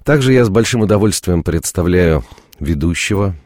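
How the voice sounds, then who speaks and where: A man's voice: deep voice, lively intonation, normal speed, darkish tone, dry recording, studio quality. A man with a cold and a nasal voice, hosting a radio programme with theatrical, ornate phrasing. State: sick with a cold. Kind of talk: unhurried radio presenting and studio chat. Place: radio studio. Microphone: studio microphone.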